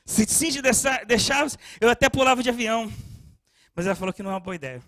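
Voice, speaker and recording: A man with a very high, bright voice preaches to his congregation, close and dry on the mic. He swings from quiet, personal storytelling to fervent shouting, often stammering over repeated words as he searches for the next phrase. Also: quick pace, no echo. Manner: frequent stammered restarts. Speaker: pastor. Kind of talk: sermon.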